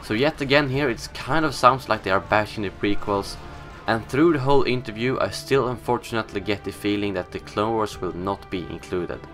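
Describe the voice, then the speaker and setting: Young Swedish man, gaming news host: a fairly low voice, casual and opinionated, with faint music behind him. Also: a little fast, fairly bright tone. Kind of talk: scripted news commentary.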